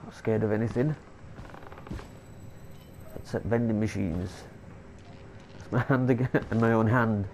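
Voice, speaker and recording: A man's voice: on the low side, steady tone, a little slow, long pauses, a little mellow, slight background noise. A man, stuffy from a cold, commentating casually on a horror game and stumbling over long words as he reads notes aloud. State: congested with a cold. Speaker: gameplay commentator. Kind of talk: casual let's play commentary.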